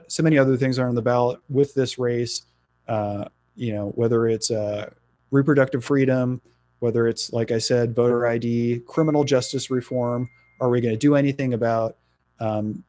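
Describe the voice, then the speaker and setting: A middle-aged man from New Jersey, a political candidate talking in a radio interview, earnest and halting with frequent fillers. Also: medium pitch, darkish tone, dry recording.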